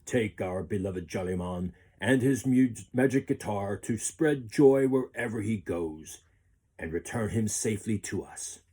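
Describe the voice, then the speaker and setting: Kindly storybook king, voiced by a man, formal, ceremonious, old-fashioned phrasing, husky, lowish voice. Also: animated, a little slow, dark tone. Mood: ceremonious, fond. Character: good island king, kindly, ceremonious, proud blessing-giver.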